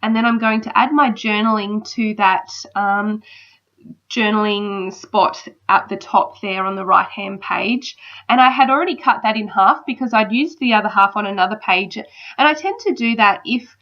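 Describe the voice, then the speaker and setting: A woman's voice: medium pitch, normal speed. A woman in her thirties with a slight cold, chatting casually in a voice-over. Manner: chatty, relaxed, frequent ums. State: battling a cold. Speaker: crafter narrating a process video. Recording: slightly dull lo-fi sound.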